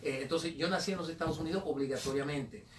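A man speaks in a rapid, insistent rush, often on the higher side for a man. He sits a little back from the mic in an echoey radio studio, with faint noise behind him. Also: distant mic. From born in the United States, raised Dominican. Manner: insistent, quick to protest and cut in. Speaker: presidential candidate, interview guest.

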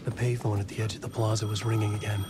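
An actor playing a haunted, desperate middle-aged horror writer in an intense, troubled video game performance. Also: male voice, on the low side, level tone, fast, heavy background noise, close to an everyday voice. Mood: brooding, uneasy. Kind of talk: video game drama, introspective narration. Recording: music behind the voice.

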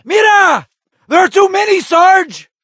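An actor playing a gutsy but anxious young Marine soldier in a video game, with strained, clipped military delivery. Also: male voice, very high-pitched, very animated, measured pace, pushed and bright, no echo, studio quality, heavily acted. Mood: urgent, alarmed. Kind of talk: video game combat voice lines.

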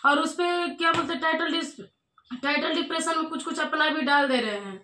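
A woman's voice: high voice, a little fast, bright, forceful tone. A woman in her thirties with an eastern Hindi-belt accent makes an insistent complaint on a phone call, repeating her points, recorded in a small room. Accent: eastern Hindi belt (Bihar/eastern UP). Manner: insistent, repetitive, remonstrating. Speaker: vlogger. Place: small bedroom indoors. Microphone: camera a short distance away. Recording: echoey small room, faint noise, clicks.

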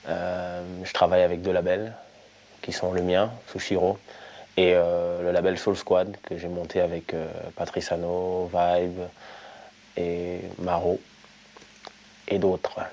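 A man in his thirties with a very deep, low voice, speaking calmly and steadily with fillers. He sits in a music studio. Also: muffled recording. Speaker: singer, composer and producer. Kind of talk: interview talk. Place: music studio in front of an amp.

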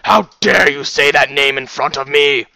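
Man as a stern, embittered stallion father, forceful. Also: on the high side, a little fast, pitched above the performer's own voice. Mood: furious. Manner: angry, cold, accusing.

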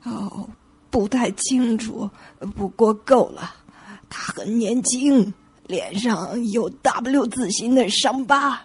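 A woman's voice: a little high, slow, husky, exaggerated voice. An actress playing a polite, hesitant woman caught up in a crime in a radio drama. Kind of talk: Mandarin radio drama acting.

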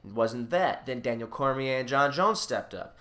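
A man with a bright, forward voice co-hosts a casual podcast. He talks in an excitable way and repeats himself for emphasis. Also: mid-range voice, normal speed. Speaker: podcast co-host.

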